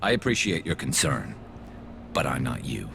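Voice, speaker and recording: A man's voice: a bass voice, very animated, moderate pace, gravelly, noisy background, exaggerated voice. A man playing a vengeful, embittered alien soldier in a video game, clipped and grim, with a flanged voice effect. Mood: bitter, defensive. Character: vengeful, embittered male alien soldier, weary and hard-edged. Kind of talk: video game dramatic dialogue.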